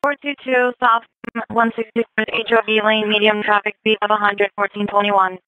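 A woman's voice: mid-range voice, little pitch movement, normal speed, narrow, tinny audio. A woman working as a police radio dispatcher, repeating reports in clipped, procedural phrases over a scanner radio. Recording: noisy police scanner radio audio with clicks.

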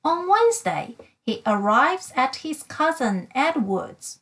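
Female picture-book reader, high and very melodic, expressive and clearly articulated storytelling. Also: slow pace. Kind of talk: expressive bilingual children's read-aloud.

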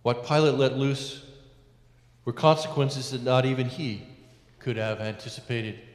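A middle-aged man, a priest, preaching a sermon from a lectern in a large church, measured with emphatic rises and hushed endings. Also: medium pitch. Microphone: lectern mic, far camera. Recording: echoey church with long reverb.

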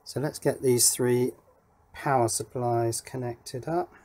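A man's voice: mid-range voice, steady tone, slow pace, warm tone. A man giving a casual hands-on electronics demonstration, thinking aloud as he works. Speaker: electronics hobbyist presenter. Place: indoor workshop.

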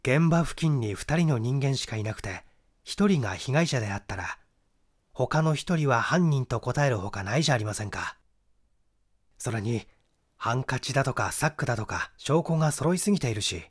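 Man playing a sceptical, animated debater. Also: medium pitch, normal speed, slightly rough. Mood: sceptical, firm. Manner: polite, assertive, argumentative. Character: sceptical, firm man insisting on a suspect's guilt. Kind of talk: audiobook mystery story character acting.

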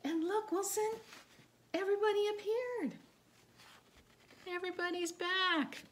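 A middle-aged woman doing a children's read-aloud in an animated, coaxing sing-song, asking questions as she goes, recorded in a living room. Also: very high voice, measured pace. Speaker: therapy dog owner reading a storybook aloud. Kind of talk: children's read-aloud, talking to a dog and young viewers. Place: living room by a stone fireplace, indoors. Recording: clearly noisy home recording.

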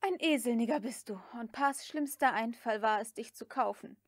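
Audiobook part: weary but domineering young mistress acted by a woman reader, high voice, snapping orders and scolding. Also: moderate pace, no echo, studio quality, lightly acted. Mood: weary contempt. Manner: curt commands and scolding. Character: exhausted, harsh, bossy young mistress. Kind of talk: audiobook character acting.

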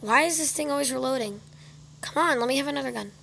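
A young woman talks through her mobile game in a casual, self-directed mutter. Her voice sits fairly high with noticeable fry. The phone recording carries faint background noise and some room ambience. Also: quick pace, bright, forceful tone. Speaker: gamer recording gameplay. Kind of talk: casual gameplay commentary. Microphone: phone screen recorder microphone.

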